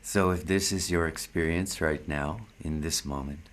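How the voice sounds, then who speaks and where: A man giving a meditative spiritual talk as a satsang teacher, speaking in measured, deliberate short phrases with long pauses. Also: very deep voice, steady tone.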